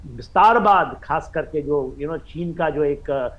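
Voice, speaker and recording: Higher-pitched Indian man, halting commentator. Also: muffled recording. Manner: halting, repetitive, mixes in English phrases. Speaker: expert guest commentator. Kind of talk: live news panel analysis.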